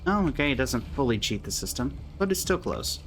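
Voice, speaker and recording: A man's voice: mid-range voice, sing-song, fairly quick, a little hiss or hum. A man giving casual live commentary while playing a video game, with dry, muttered asides to himself and the game. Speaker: gaming streamer reacting to gameplay.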